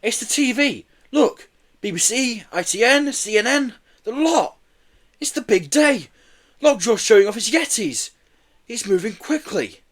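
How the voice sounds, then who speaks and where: A man voicing an anxious, bossy, excitable young boy in a storybook, speaking urgently. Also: high voice, normal speed, voice pushed up, full character voice. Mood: excited. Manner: urgent, exclamatory.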